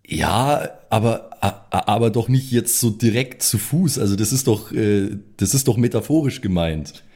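A man's voice: lowish voice, animated, moderate pace, darkish tone. A man who plays on the show, chatting casually in improvised comic banter and often stammering and repeating phrases. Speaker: player on the show.

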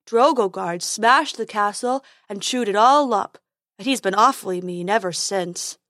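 A woman voicing a silly, stubborn male unicorn who speaks slowly and emphatically. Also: mid-range voice, a bit bright, dry recording, studio quality, lightly acted. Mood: eager. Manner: slow, emphatic, talks about himself by name.

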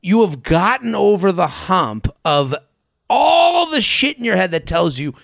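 Guy podcast host w a slightly high creaky voice, riffing on advice, dry close sound. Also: sing-song, unhurried, fairly bright tone, dry recording. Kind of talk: casual comedic advice chat, sometimes reading listener emails.

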